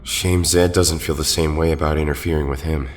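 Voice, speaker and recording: Man acting a low-voiced, steady young leader. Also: brisk, a little hiss or hum. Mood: wry. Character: steady young male team leader, wry and sympathetic. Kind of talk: audio drama acting.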